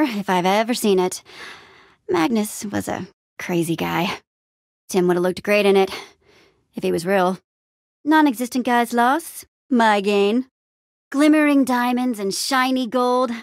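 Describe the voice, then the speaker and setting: A woman playing an upbeat young adventurer and town hero in a video game, friendly, casual, expressive and quippy. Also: medium pitch, dry recording, studio quality, natural voice. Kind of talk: video game character acting.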